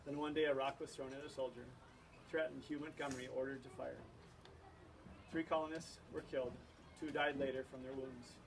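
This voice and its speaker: A man's voice: medium pitch, fairly even intonation, fairly quick, gravelly. A man giving an informal introduction to a student show, speaking to an audience like a teacher. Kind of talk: informal introduction speech to parents. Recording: noisy room, distant microphone.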